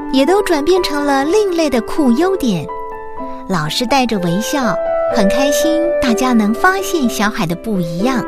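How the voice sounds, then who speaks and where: A woman narrating a children's school radio play in Taiwanese Mandarin, expressive and addressed to young listeners. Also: fairly high pitch. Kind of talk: scripted children's story narration. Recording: music behind the voice.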